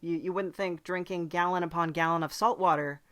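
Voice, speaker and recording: A woman's voice: on the low side, steady tone, brisk, fairly bright tone, natural voice. A woman voicing a chatty demon from hell in an improvised comedy podcast, hesitant and politely earnest as she rambles. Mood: chatty, matter-of-fact. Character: chatty demon from hell who visits the human world. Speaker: podcast host. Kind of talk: improvised in-character comedy interview.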